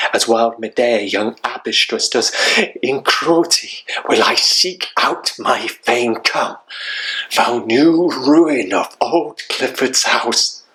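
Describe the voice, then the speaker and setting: Man playing an anguished young warrior, slow delivery. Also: mid-range voice, bright, forceful tone, poor audio. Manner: declamatory, intense, anguished. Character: grief-stricken, vengeful young Shakespearean warrior son. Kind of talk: theatrical Shakespeare monologue.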